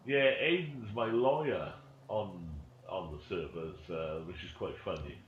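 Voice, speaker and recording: Male gamer, low voice, joking sweary banter, roomy echo. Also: unhurried. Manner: jokey, sweary, repeats catchphrases. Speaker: streamer playing a role-play game. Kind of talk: casual gaming chat with banter. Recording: muffled, faintly noisy, echoey room.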